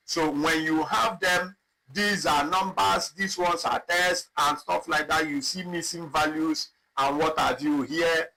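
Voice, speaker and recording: A man teaching a live online spreadsheet lesson, talking slowly and repeating himself as he clicks through data. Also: on the high side, bright, forceful tone, rough recording. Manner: slow, repetitive, thinking aloud while clicking. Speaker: instructor. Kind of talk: live online software tutorial.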